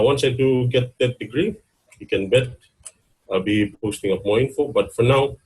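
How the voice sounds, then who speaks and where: A man with a Fijian accent and a slightly shaky voice, giving an informal talk to an online gathering with hesitant pauses. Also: fairly low pitch, darkish tone, husky, poor audio. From Fiji, Lau islands. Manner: hesitant, pausing mid-phrase. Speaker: guest speaker, university student. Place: online video meeting.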